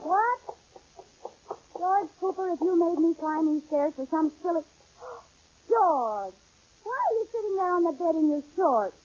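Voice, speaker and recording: A woman playing a flustered, dawdling housewife with quick exasperated retorts in a 1940s radio comedy. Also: very high-pitched, lively intonation, a little mellow. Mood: indignant. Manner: exasperated, playfully argumentative. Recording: dull old radio recording.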